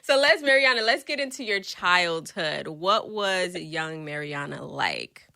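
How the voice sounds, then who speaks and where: A woman's voice: on the low side, sing-song, unhurried, gravelly, bright, forceful tone, no room sound, clean studio sound. A woman hosting a podcast interview, chatty and bubbly, asking about her guest's life. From Haitian background. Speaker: podcast host. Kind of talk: casual interview chat.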